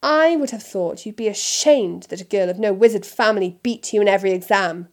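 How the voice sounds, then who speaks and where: A woman's voice: mid-range voice, a little slow, mellow, close to an everyday voice. Woman reading a cold, clipped aristocrat father, disdainful.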